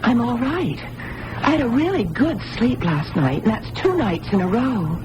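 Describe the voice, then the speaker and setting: Earnest, trusting young heroine for vintage radio drama; expressive, highly varied pitch, noisy broadcast sound. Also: female voice, normal speed. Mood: tender concern. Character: emotional young amnesiac blind woman, earnest and trusting. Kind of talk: old-time radio drama acting.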